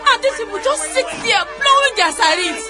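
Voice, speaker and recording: An actress playing a distraught restaurant owner with a Nigerian accent, shouting and pleading in a radio drama. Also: female voice, very high-pitched, lively intonation, brisk, exaggerated voice. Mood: panicked, wailing. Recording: loud music and crowd behind.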